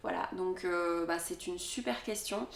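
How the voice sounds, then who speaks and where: A woman's voice: fairly low pitch, measured pace, vocal fry, echoey room, distant mic. A woman in her thirties answering viewer questions in a casual vlog, hesitant and full of fillers. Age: 30s. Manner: hesitant, many fillers and restarts. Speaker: dance vlogger.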